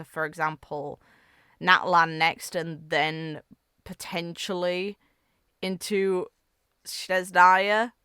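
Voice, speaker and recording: Clear, lowish-voiced woman giving casual reactions. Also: sharp tone, dry recording, clean studio sound. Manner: musing, hedging, conversational. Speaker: video game reaction creator.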